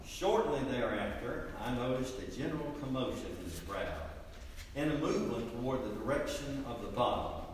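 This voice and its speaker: A man's voice: mid-range voice, lively intonation, moderate pace, close to an everyday voice. A man playing an earnest, formal eyewitness recounting events in a dramatic reading of testimony. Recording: echoey noisy hall, distant mic.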